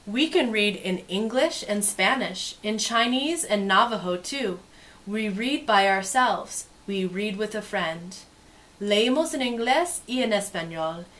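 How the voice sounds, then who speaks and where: A woman presenting a bilingual picture-book read-aloud with casual commentary, expressive when reading and softer when commenting. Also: mid-range voice.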